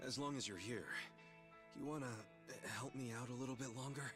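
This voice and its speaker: An actor voicing a loyal, earnest, good-hearted ex-convict gangster in video game dialogue, speaking informally and sincerely. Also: male voice, medium pitch, brisk, close to an everyday voice. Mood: sincere, grateful. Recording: music playing behind the voice.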